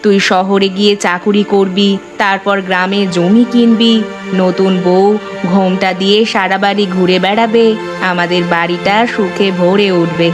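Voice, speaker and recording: Woman acting village mother, cartoon moral tale, teasing, doting, sharp tongue, mid-pitched, clear music underneath. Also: fairly even intonation, normal speed, natural voice. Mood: fond nagging. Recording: music bed behind the voice.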